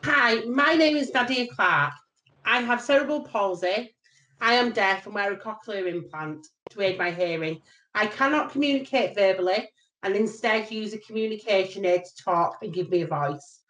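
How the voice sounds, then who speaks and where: A woman's words come through a communication aid as a synthesized voice, with an even, mechanical delivery. Her first language is British Sign Language. The audio has a roomy echo around it. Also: mid-range voice, normal speed, distant mic. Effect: synthesized speech from a communication aid. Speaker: panel participant. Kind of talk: prepared statement read by communication aid. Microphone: video call.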